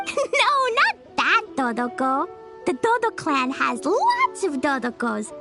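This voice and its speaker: Exuberant, very high-pitched little girl. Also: huge pitch swings, slow pace, exaggerated voice. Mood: explaining eagerly. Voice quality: childlike, bubbly. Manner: eager, breezy explaining. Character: exuberant, mischievous little girl, innocent and adventurous. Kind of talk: video game voice acting. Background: children's music.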